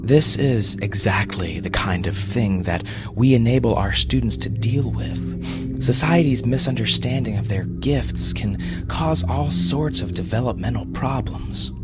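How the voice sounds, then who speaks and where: A man voicing a calm, formal, skeptical doctor with a polite, educated manner in an audio story. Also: medium pitch, unhurried, over loud music, pitched above the performer's own voice, natural voice. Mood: earnest, persuasive. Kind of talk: storybook character voice.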